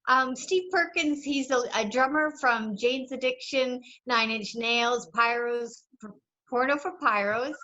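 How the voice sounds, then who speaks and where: A woman's voice: on the high side, a little slow, pushed and bright. A woman co-hosting on a video call, introducing the guest with enthusiasm. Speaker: co-host. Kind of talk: show introduction and chat. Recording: dull lo-fi video call sound.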